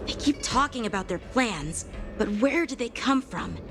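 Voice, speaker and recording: A woman's voice: on the high side, animated, normal speed, over music, natural voice. A woman voicing an alert, resourceful young heroine in a video game, earnest and urging. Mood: puzzled. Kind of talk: video game character acting.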